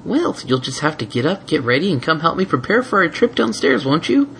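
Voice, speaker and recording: A man's voice: on the high side, sing-song, a little fast, creaky, fairly bright tone, slight background noise, voice pushed up, heavily acted. A man voicing a prim, coaxing female unicorn guardian in a fan fiction story, sweet but firmly insistent. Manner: coaxing, silken, primly insistent. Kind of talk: fan fiction audiobook character voice.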